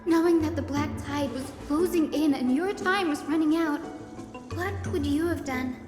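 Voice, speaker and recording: High-voiced earnest young woman acted out in echoey reverb. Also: close to an everyday voice. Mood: urgent deduction. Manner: deliberate, dramatic reasoning aloud. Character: earnest, sharp-minded young woman investigator piecing clues together. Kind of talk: scripted video game voice acting. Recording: echoey hall reverb under loud music.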